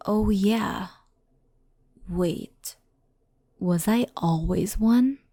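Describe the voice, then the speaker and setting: A woman voicing a gentle, loyal, slightly dazed resurrected yokai in an ASMR roleplay, soft and halting in delivery. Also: mid-range voice, dry recording, studio quality. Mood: dreamy, content. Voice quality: soft, creaky.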